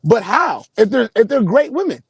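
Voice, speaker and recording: A man hosting a casual live-stream interview, asking probing questions in a measured way while calling in from his car. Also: very high voice, sing-song, gravelly, husky, no echo, clean studio sound. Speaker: host.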